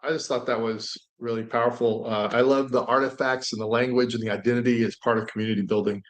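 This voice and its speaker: A man's voice: mid-range voice, flat delivery, fast. A man casually sharing his thoughts as a participant on a group video call. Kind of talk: casual chat.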